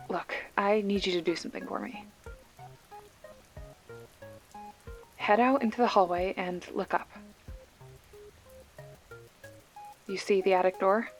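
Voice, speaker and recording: A woman's voice: medium pitch, fairly even intonation, brisk, lightly acted. A woman plays a young female video store clerk in an ASMR mystery drama, talking as if on a phone call. Her mid-range voice is dry and sarcastic, loose with swearing, yet protective underneath. Music runs behind her. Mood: urgent, focused. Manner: wry, snarky, casual with swearing. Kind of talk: ASMR roleplay acting, one-sided phone call.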